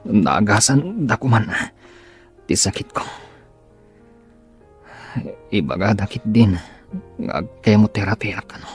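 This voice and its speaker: A man's voice: lowish voice, lively intonation, slow pace, pauses often, faint music behind. A man acting in a radio drama as a bitter, short-tempered sick boss who grumbles in despair.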